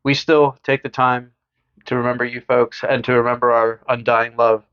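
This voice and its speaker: A man's voice: medium pitch, little pitch movement, normal speed, clean tone, dull audio. Playful young man co-hosting a podcast. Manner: upbeat, laughs often, playful asides. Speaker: podcast co-host. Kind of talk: casual chat.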